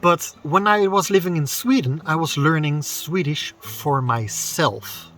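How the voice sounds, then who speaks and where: A man's voice: a little high, unhurried, a bit bright, faint music behind. A man in his thirties from the Netherlands with a Dutch accent, talking casually to camera outdoors in the woods and explaining his thoughts as he goes. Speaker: vlogger.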